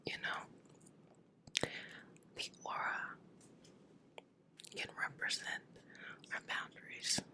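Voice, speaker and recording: Asmr healer lady, whispers everything really slowly, soothing, repeats little phrases. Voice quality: breathy unvoiced whisper. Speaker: ASMR reiki energy healer. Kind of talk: whispered ASMR healing session.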